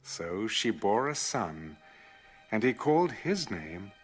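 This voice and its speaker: A man reads scripture as a dramatic storyteller. His lowish voice moves expressively up and down and takes its time. Soft music sits underneath. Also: very animated, slow pace. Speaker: audio Bible narrator.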